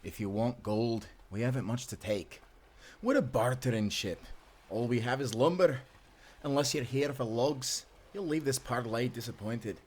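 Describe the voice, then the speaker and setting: A man's voice: mid-range voice, moderate pace, darkish tone, close to an everyday voice. A man playing an earnest, protective sailor companion in an audio drama, with an urgent manner. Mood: guarded. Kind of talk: cinematic audio roleplay acting.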